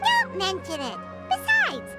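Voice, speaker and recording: Squeaky little fairy sidekick, very high and excitable, game music behind. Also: female voice, a little slow, heavily acted. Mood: cheerful. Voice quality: squeaky, childlike. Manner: exclamatory, speaks of herself in third person. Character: Paimon, tiny excitable floating fairy companion, childlike girl. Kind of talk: video game character acting.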